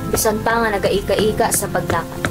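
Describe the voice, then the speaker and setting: A woman's voice: medium pitch, fairly even intonation, moderate pace. A young woman with a Filipino accent reading scripted narration for a student radio drama. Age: young adult. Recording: music and crowd sounds behind.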